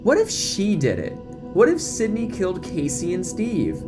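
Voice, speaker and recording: A man's voice: high voice, huge pitch swings, normal speed, pitched above the performer's own voice. A man voicing a catty, cruel teenage girl gossip in an audio drama, snide and dismissive, with music behind. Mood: speculating.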